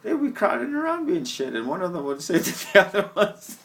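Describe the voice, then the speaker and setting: A man's voice: high voice, wildly swooping pitch, fast, gravelly, a bit bright. A young man with a slight Scottish-tinged accent, rambling profanely to his camera in a stream-of-consciousness monologue, often laughing at himself, at an outdoor bus stop. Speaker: vlogger. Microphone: camera mic.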